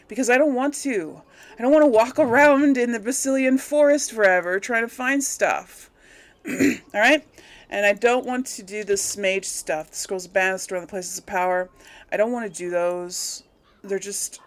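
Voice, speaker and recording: A woman hosting a let's play, talking informally to her viewers and thinking aloud as she goes. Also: mid-range voice. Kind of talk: casual gaming commentary to viewers.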